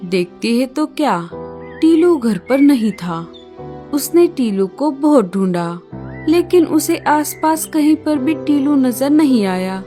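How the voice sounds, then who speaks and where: A woman's voice: high-pitched, expressive, slow, mellow, faint music behind. A woman narrating a scripted children's story.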